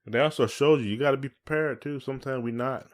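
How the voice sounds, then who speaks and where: A man's voice: mid-range voice, fast. An American man co-hosting a podcast in casual chat, with informal, rambling, colloquial phrasing. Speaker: podcast co-host.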